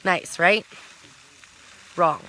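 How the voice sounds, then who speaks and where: A talkative woman in her thirties rambles through a casual vlog with a bright, forceful voice. She recorded it outdoors at a campsite, and a faint hiss of outdoor sound sits behind her. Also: lowish voice, drawn-out words, rough recording. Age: 30s. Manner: rambling, talkative, self-correcting. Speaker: vlogger.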